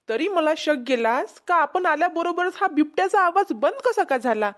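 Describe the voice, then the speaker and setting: A woman's voice: high voice, lightly acted. A woman with a Vidarbha Marathi accent voicing an officious, suspicious rescue worker who questions and instructs, in a cartoon comedy. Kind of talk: amateur cartoon comedy voice acting.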